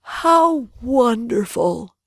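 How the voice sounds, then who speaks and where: A woman voicing a dreamy old male geographer in a children's storybook, with drawn-out, breathy, wondering speech. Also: on the high side, very animated, no room sound, pitched above the performer's own voice, full character voice. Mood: wondering, regretful. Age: older.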